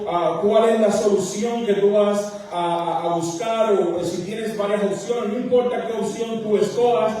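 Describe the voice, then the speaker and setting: A man with a Dominican accent preaching a church sermon as a pastor, halting and correcting himself, then pressing his points. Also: high-pitched, warm tone. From Dominican Republic, partly raised in Queens, New York. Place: church sanctuary, speaking to congregation. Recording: very echoey large hall, distant microphone.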